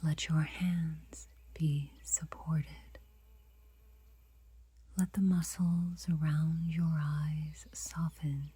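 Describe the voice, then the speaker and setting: A woman guiding a meditation in a soft, breathy whisper, unhurried and gentle, leaving long pauses. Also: low-pitched, little pitch movement, dry recording. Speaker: meditation guide.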